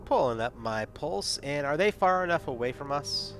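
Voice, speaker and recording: A man's voice: on the high side, expressive, normal speed, fairly bright tone, soft background music. A man playing a tabletop game, chatty and thinking aloud as he rolls dice. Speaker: player. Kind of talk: casual game talk.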